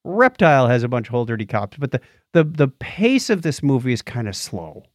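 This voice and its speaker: A man speaking as a podcast co-host, giving an unscripted review in a halting, conversational way that often restarts phrases. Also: medium pitch, very animated, no echo, clean studio sound. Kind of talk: casual unscripted review chat.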